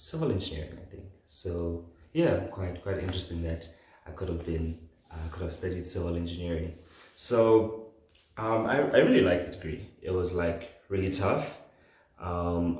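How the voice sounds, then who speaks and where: A man's voice: deep voice, darkish tone. A man in his late twenties from southern Africa telling his story casually as a solo podcast host, in an informal, conversational way. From southern Africa, born in Zambia. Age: late 20s. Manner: informal, conversational, often says "like". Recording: very echoey room.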